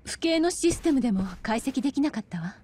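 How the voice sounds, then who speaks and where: Firm young policewoman, highish voice. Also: lively intonation, fairly quick, darkish tone, a little hiss or hum. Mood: serious, insistent. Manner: crisp, serious, direct. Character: firm, cool young policewoman, serious and headstrong. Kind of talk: dramatic video game cutscene acting.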